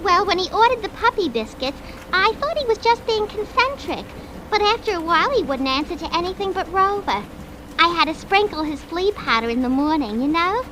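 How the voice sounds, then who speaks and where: A very high, swooping voice makes this scatterbrained young secretary chatty and prim. She is painfully polite and mangles her long words. It is 1940s radio comedy acting, heard through the clear noise of an old broadcast and rumbling train. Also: animated, fairly quick. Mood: chatty, earnest.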